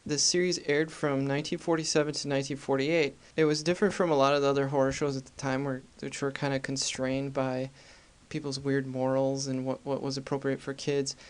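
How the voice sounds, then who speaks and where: A man's voice: mid-range voice, little pitch movement, fairly quick, clean tone. A man hosting a podcast, introducing things casually in an informal, jokey, self-deprecating way. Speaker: podcast host.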